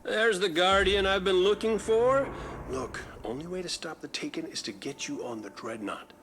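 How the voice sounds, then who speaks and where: An actor playing a scheming, wisecracking robot adventurer in a video game, conspiratorial and flippant. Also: male voice, high voice, moderate pace, a bit bright, a little hiss or hum. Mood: sly, urgent. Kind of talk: cinematic game voice acting.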